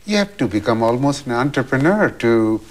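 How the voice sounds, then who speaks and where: A man's voice: medium pitch, big pitch swings. Courteous male interviewer. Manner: courteous, halting with fillers. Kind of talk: interview questions.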